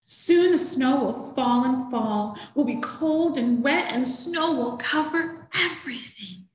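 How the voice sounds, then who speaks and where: A woman's voice: sits high, lively intonation, slow. A woman voicing a worried little kitten who fears snow, in an anxious, emphatic tone. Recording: roomy echo.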